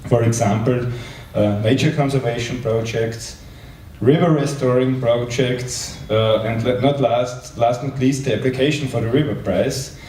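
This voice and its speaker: Mellow, halting man in a roomy space. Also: medium pitch. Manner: halting, repeats words. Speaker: private sector representative, company head. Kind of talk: public speech at a panel event. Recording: roomy, distant mic, faint noise.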